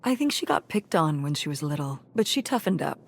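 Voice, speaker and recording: A woman's voice: sits low, sing-song, fairly quick, creaky, husky, heavily acted. An actress playing a middle-aged mother in a story game, nostalgic about her college days and firm with her daughter. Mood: wry. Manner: warm nostalgic, then firm and dismissive. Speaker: game character. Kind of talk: voiced game dialogue.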